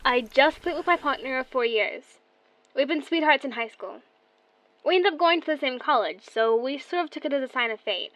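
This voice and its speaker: A woman's voice: high voice, a bit bright, no room sound, dull audio. An actress playing a young woman caller who bitterly recounts her broken marriage, confiding and resentful. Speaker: caller to a radio show. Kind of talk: audio drama acting.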